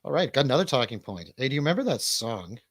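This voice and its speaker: A man's voice: mid-range voice, very animated, fast talker, no echo, clean studio sound. A man hosting a livestream radio show with casual, comedic chat, rambling and swearing irreverently.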